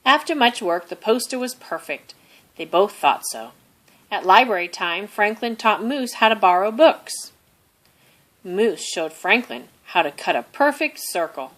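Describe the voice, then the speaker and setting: A woman reading a children's story aloud expressively, deliberately stressing phrases. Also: mid-range voice, lively intonation, fairly bright tone. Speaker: storybook reader.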